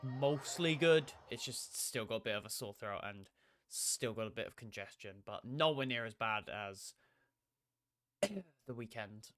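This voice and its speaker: A man's voice: on the low side, a little slow, fairly bright tone. A young man chatting casually on stream, laid-back and swearing, his throat sore from a cold. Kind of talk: casual game stream chat.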